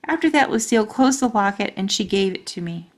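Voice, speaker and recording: A woman's voice: mid-range voice, animated, a little fast. A woman reading a children's storybook aloud as a storyteller.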